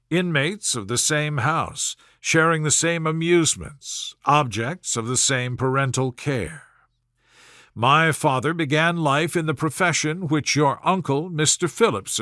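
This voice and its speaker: A man voicing an aggrieved young Regency gentleman in a storybook, smooth-talking and formal, with measured, wounded dignity. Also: fairly high pitch, no echo, clean studio sound. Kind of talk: storybook character voice in audiobook reading.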